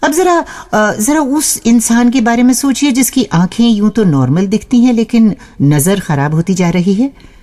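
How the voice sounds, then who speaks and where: A woman's voice: on the high side, expressive, moderate pace, dark tone. A woman hosting a radio show, reading a scripted narration expressively and addressing listeners as friends.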